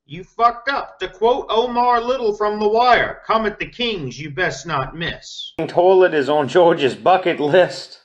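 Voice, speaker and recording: A man's voice: sits high, measured pace, hardly any pauses, dull audio. A man with a mocking manner gives an informal dramatic reading of online comments.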